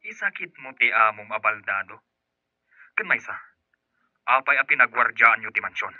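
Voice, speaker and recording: A man's voice: medium pitch, lively intonation, normal speed, no echo, lightly acted. An actor playing a suspicious, mocking man with a confrontational, sneering manner on the phone in an Ilocano radio drama. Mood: demanding. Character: suspicious, mocking male relative of the boss. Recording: dull telephone-call sound.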